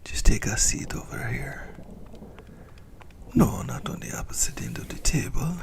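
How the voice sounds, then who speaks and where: A man playing a possessive, doting ancient vampire in a breathy, whispered ASMR roleplay, teasing and intimate as he savors his words. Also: mid-range voice, very animated, unhurried. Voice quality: breathy whisper with gravelly creak.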